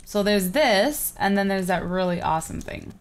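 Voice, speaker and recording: Young woman, twenties, casual gameplay chatter, excitable, quick exclamations, home desk mic. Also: medium pitch, smooth. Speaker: co-host of a Minecraft series. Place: home room, indoors. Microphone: webcam and desk mic.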